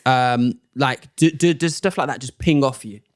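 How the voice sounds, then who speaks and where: Dry-sounding man telling animated stories. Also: mid-range voice, normal speed, no echo. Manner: animated storyteller acting out other people's lines. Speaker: podcast guest, comedian. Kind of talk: casual chat, storytelling.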